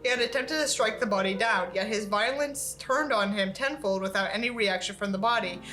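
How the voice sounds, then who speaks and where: Bright-voiced woman reading theatrically. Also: mid-range voice, brisk, faint music behind, poor audio. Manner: theatrical, sarcastic. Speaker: YouTube book reviewer. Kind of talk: reading a novel aloud with mocking commentary.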